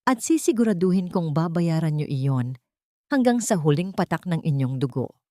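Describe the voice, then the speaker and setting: Menacing Filipino villainess, voiced by a woman narrator, low. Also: expressive, normal speed, warm tone, no echo, clean studio sound. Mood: cold menace. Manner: menacing, taunting. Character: vengeful, threatening woman villain writing from prison.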